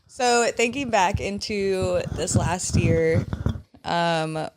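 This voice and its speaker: A thirty-year-old woman muses about her age on a casual podcast. She is reflective and quick to laugh. A clear layer of noise and clicking runs under her voice. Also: lowish voice. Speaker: podcast co-host. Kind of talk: casual chat.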